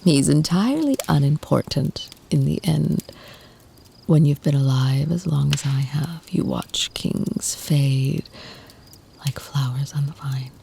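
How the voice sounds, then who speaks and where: A woman playing an ancient, tender, protective vampire lover in an ASMR roleplay, speaking in a soft, breathy, soothing whisper close to the mic. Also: low voice, animated, a little hiss or hum, heavily acted. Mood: calm, dismissive. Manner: slow, soothing, intimate murmur with lingering pauses.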